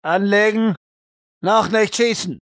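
Military commander, played by a man: clipped, urgent orders, raised pitch, tense sharp tone, radio-play acting. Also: fairly even intonation, slow pace, dry recording, studio quality, heavily acted. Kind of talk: role-play audio drama.

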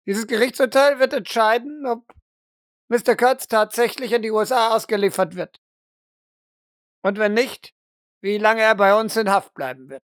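Woman as a stern, measured courtroom official. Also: fairly high pitch, dry recording, studio quality, lightly acted. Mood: stern, grave. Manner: measured, commanding, formal. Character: stern, formal courtroom official announcing grim evidence.

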